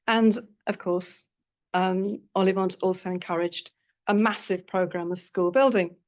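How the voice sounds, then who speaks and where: A woman historian reading out a prepared seminar paper in a measured way, with dry asides. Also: on the low side, steady tone, dull audio. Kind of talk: academic lecture read from a prepared paper. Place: lecture room at a college.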